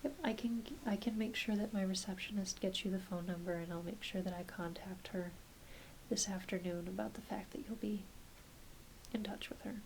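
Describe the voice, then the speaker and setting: A woman playing a gentle, reassuring doctor in an ASMR roleplay, speaking softly and hesitantly, often dropping to a whisper. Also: mid-range voice, steady tone, natural voice, low-quality recording. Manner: hesitant, unhurried, apologetic and reassuring. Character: gentle, reassuring female doctor examining a werewolf patient. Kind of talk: soft-spoken ASMR medical roleplay. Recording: constant soft background hiss.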